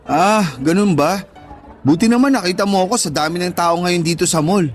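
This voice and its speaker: Man acting trusting young guy in love, high male voice, earnest, radio drama, faint music. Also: moderate pace, natural voice. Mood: friendly. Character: lovestruck, trusting young man awaiting his girlfriend.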